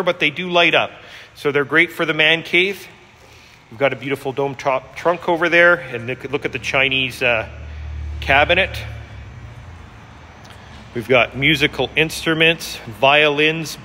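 Bright-voiced man in an echoing hall. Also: on the high side, slight background noise. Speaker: auctioneer giving a preview walkthrough. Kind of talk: casual unscripted walkthrough narration. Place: large concrete-floored auction warehouse, indoors. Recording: reverberant large hall.

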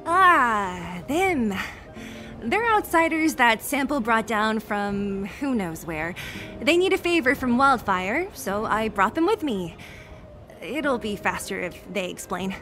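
A woman's voice: a little high, big pitch swings, takes it slowly, sharp tone, soft background music, exaggerated voice. A young woman voicing a tough, blunt resistance fighter in a video game, cool and matter-of-fact. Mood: casual, wry. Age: young adult. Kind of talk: scripted video game dialogue.

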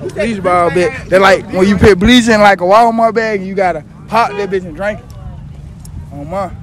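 A man's voice: sits high, moderate pace, slight background noise. A teenage boy answering an interviewer outside a school, blunt and boastful. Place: outdoors by a school building.

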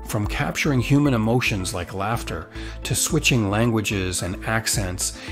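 A man hosting a tutorial with upbeat, promotional scripted narration. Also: on the low side. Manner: enthusiastic, promotional. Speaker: tutorial host. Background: background music.

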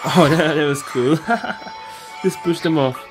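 Male gamer, casual commentary, unhurried pace, offhand muttering, slang, game music in background. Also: a little high, steady tone. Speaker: video game streamer.

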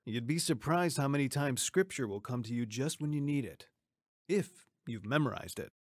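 Kind pastor mentor guy acting in a radio play, gentle advice, no echo at all. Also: mid-range voice, expressive, moderate pace, studio quality, natural voice. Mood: encouraging. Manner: gentle, instructive. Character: kindly, encouraging male pastor and mentor.

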